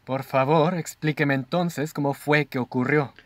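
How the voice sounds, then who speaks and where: A man's voice: a little high, fairly even intonation, a little slow, no room sound, lightly acted. Man acting an inquisitive, courteous detective's companion. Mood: puzzled. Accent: Mexican. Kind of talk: student radio drama acting.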